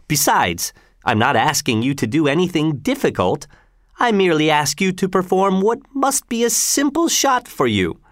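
Casting: audiobook reader as imperious, cruel male governor; mocking, lifted pitch with big swings, dry sound. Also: no room sound. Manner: mocking, commanding, falsely reasonable.

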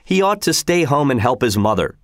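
A man's voice: mid-range voice, very animated, brisk, no room sound, studio quality, lightly acted. A man reading a story voices a worried wife and mother who scolds and frets insistently, in a raised voice. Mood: scolding. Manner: nagging, fretful, insistent. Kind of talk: audiobook character reading.

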